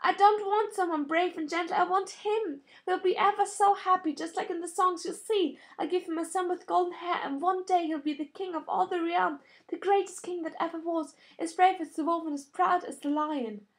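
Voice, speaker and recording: A woman's voice: extremely high pitch, fairly even intonation, normal speed, few pauses, pitched above the performer's own voice. A woman voicing a dramatic, petulant young noble girl, haughty and pleading, in an audiobook story. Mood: insistent, upset.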